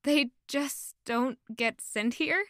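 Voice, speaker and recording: A woman's voice: sits high, takes it slowly, a bit bright, no room sound, clean studio sound. A woman playing a sweet, curious young noble lady in an audio drama, polite and inquisitive.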